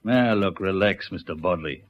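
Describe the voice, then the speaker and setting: A man playing a brisk, unflappable Australian country police sergeant in a radio drama, businesslike and reassuring. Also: sits low, natural voice. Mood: calm, skeptical. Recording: muffled old radio recording.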